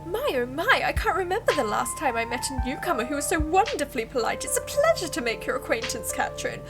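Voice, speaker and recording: Music plays clearly behind a posh lady pug , acted out by a woman playing a story game. She is raised in pitch, grand and genteel, and delivers her lines with mannered, flattering politeness. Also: fast talker. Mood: grandly charmed.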